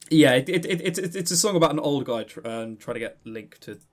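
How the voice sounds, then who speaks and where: A man co-hosting a podcast in casual, joking banter, rambling excitably and often stammering over repeated words. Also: fairly high pitch, normal speed. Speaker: podcast co-host.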